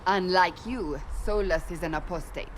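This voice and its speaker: An actress playing a stern, decisive warrior woman in a fantasy game, curt and forceful. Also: lowish voice, normal speed, slight background noise, close to an everyday voice, low-quality recording. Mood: brisk, stern. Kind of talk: fantasy video game dialogue.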